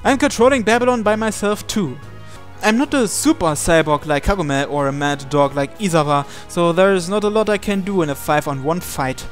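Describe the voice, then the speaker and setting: A man's voice: high-pitched, moderate pace. A man reading visual novel narration aloud in a dramatic storytelling style. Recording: game music playing behind. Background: video game music.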